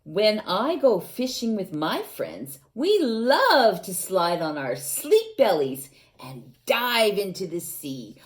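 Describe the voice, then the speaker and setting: Woman, storytime reader for small children: unhurried, coaxing, playful, with a lively up-and-down delivery. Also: mid-range voice. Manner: gentle, coaxing, asks the young listeners questions; playful, with sound effects and exclamations. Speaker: teacher reading to children. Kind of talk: reading a picture book aloud to young children.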